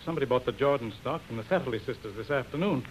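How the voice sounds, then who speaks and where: A gentle, troubled husband and businessman acted by a man in an old radio play. He is weary and halting, yet his words come quickly at a medium pitch, over faint hiss. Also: lightly acted, rough recording. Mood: concerned. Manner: hesitant, halting. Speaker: actor in a radio drama. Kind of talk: 1930s radio acting. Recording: hissy 1930s radio recording.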